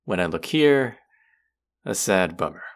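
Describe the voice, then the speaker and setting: A man's voice: low-pitched, animated, unhurried. A man playing a condescending, teasing young twin brother in an audio roleplay, with a mocking, drawling delivery aimed at the listener. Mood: scornful. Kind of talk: scripted roleplay addressed to listener.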